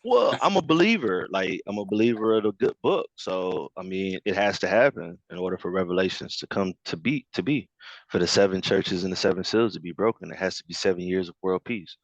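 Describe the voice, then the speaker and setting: A man in casual group discussion over an online call, talking in animated bursts with many fillers and laughs. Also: low voice, a little fast, dry recording, dull audio.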